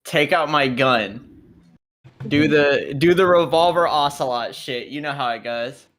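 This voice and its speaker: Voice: mid-range voice, a little slow, a bit bright. A tabletop roleplay player chatting casually and narrating combat moves, with slangy, boastful talk and swearing.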